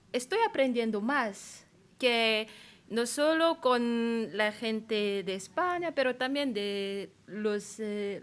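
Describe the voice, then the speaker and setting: A woman's voice: a little high, a bit bright. A young woman from Madagascar speaking a language that is not her first, telling a personal story at a colloquium, hesitant and searching for words. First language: not Spanish. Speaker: panel speaker. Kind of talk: personal account at a public colloquium.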